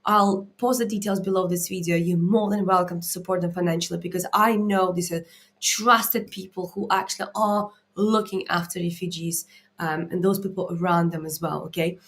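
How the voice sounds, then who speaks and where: A woman's voice: lowish voice, fairly even intonation, moderate pace. A woman from Latvia with an Eastern European accent, speaking off the cuff as a coach hosting a live online Q&A, earnest and emphatic, often checking in with short tag words. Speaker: mindset coach and live Q&A host.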